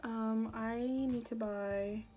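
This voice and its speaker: Raspy young woman chatting through makeup. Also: fairly high pitch, fairly even intonation, takes it slowly, dark tone, soft background music. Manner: self-deprecating, jokey, rambling. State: raspy, sore throat. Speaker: beauty vlogger. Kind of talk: casual chat while doing makeup.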